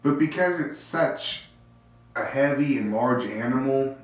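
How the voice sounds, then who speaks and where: Male host with a stuffy cold, low-energy rambling musings, very echoey bathroom recording, a bit off-mic. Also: a little slow, far from the mic. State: congested, stuffy-nosed. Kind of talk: casual speculative monologue. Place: small home bathroom.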